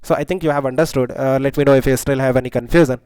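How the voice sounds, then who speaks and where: Indian man, unhurried pace, dry close sound, instructional delivery, code-switching, short check-in questions. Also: mid-range voice, steady tone, a little mellow, clear, resonant tone, no echo. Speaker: physics teacher. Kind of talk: explanatory lesson, code-switching between Hindi and English.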